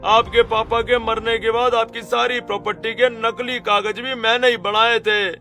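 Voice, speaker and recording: A man's voice: extremely high pitch, flat delivery, moderate pace, pushed and bright, soft background music, heavily acted. A man playing a cowardly young crook in a cartoon, whining and pleading for mercy. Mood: frightened, pleading. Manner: whiny, pleading. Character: cowardly, pleading young brother-in-law, a small-time forger. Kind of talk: Hindi cartoon story acting.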